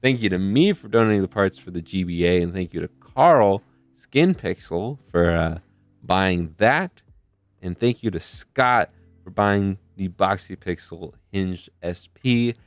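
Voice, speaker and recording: Deep-voiced male streamer, rambling. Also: expressive, a little slow, no echo, faint music behind. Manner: rambling, loses his train of thought. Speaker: streamer hosting a charity stream. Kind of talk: casual live-stream chat. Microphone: desk mic close to a face camera.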